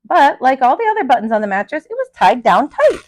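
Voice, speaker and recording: Animated woman reading a children's story, highish voice. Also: fast, background noise. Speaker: teacher and storyteller. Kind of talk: expressive read-aloud of a children's picture book.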